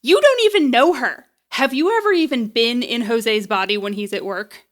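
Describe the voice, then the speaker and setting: A woman's voice: fairly high pitch, expressive, normal speed, lightly acted. A woman in an audio drama playing a stubborn, flippant, loyal repairperson with a casual, wry, defiant way of talking. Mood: indignant.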